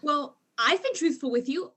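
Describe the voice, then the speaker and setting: A woman's voice: high voice, lively intonation, normal speed, fairly bright tone. A woman playing a guileless, tipsy alien trade spy in a comic mystery, earnest and muddled in manner.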